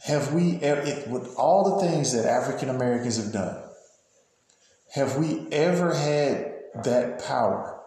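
A man in his thirties hosting a casual interview, halting and repeating words as he builds his questions. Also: medium pitch, expressive, mellow. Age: 30s. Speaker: podcast host interviewing. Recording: very echoey room.

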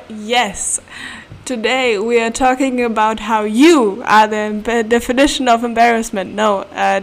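A young woman with a German accent talks in a chatty, playful way and often breaks into giggles. Her voice sits a little high. A faint hiss sits under the talk. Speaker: podcast host. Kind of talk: casual solo podcast chat. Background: faint room ambience, cat nearby.

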